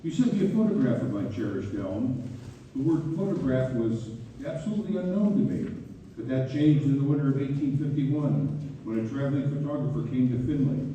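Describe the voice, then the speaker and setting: A man playing a genial older publisher, reading his reminiscing letter aloud in a measured, nostalgic way. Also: mid-range voice, animated, mellow, muffled recording, natural voice. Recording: distant, very echoey hall, faint noise.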